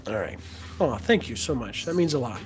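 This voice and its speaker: A man with a raspy, hoarse voice after being sick, chatting casually on a livestream with halting speech and many fillers while he answers viewers. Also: medium pitch, lively intonation. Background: music playing softly.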